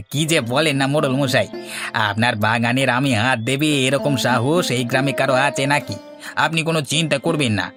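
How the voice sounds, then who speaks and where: Casting: male actor as obsequious, bragging village watchman for a cartoon, middle-pitched, faint music. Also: moderate pace, close to an everyday voice. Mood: fawning, eager. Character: fawning, boastful servant of a village headman. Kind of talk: cartoon dialogue. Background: instrumental music.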